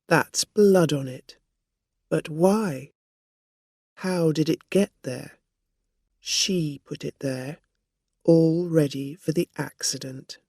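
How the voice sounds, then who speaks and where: Storybook woman, performed by a narrator: shrewd, assertive, measured delivery, dry recording. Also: medium pitch, darkish tone, dry recording. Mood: accusing, firm. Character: Dorothy Burns, assertive accusing woman, shrewd and controlled.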